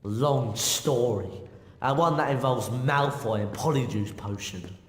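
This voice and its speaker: A man's voice: mid-range voice, a little slow, natural voice. A man voicing an earnest, grateful teenage schoolboy wizard in a video game. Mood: sheepish. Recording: echoey hall, music behind.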